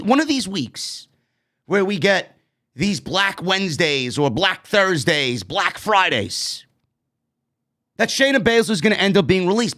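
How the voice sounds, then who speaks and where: A man in his late thirties with a New York accent, hosting a casual wrestling review show, blunt and animated, speaking into a studio microphone. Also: sits high. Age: 30s. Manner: animated, gestures a lot, blunt and swearing. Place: streaming studio with neon bar backdrop. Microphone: broadcast mic on stand, headphones.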